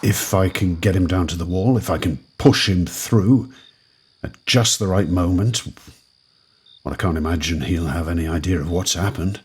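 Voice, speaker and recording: Desperate debtor, husky, low voice, stammering, audio drama. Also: male voice, moderate pace. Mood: brooding. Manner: stammering, pleading. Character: nervous, desperate man in debt, scheming.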